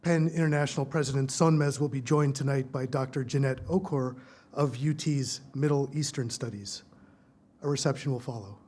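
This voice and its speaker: A man hosting a library event, reading formal introductions of the speakers in a measured, prepared way. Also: mid-range voice, a little mellow. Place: lecture hall at a research library.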